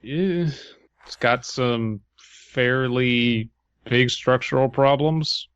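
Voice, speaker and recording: A man's voice: mid-range voice, drawn-out words, fairly bright tone, clear, resonant tone, no echo, highs cut off. A man co-hosting a podcast, chatting casually about a story. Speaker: podcast host.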